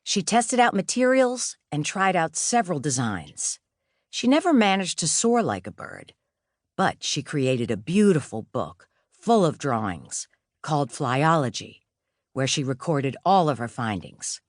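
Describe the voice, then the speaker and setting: A woman narrates a storybook in a low voice. Her delivery is measured and expressive, with pitch that moves freely up and down. The sound is dry and close, like a studio audiobook. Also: no room sound.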